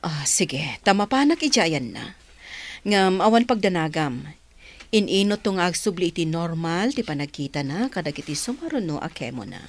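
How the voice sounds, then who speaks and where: Female doctor acted by a woman, Ilocano, lowish voice with lively inflection, reassuring, for radio drama. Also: normal speed.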